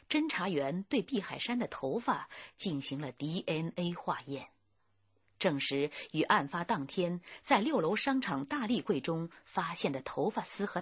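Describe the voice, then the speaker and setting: A woman's voice: fairly low pitch, lively intonation, measured pace, husky, dry recording, highs cut off. A woman reading scripted narration for a crime radio drama.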